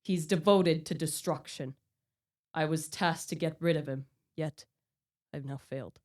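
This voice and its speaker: A woman's voice: low voice, unhurried, darkish tone. A woman playing a dying, vengeful male scientist in a radio play, declaiming with solemn, melodramatic anguish. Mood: dying despair. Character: dying, vengeful male scientist obsessed with hunting his creation. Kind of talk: theatrical radio play acting.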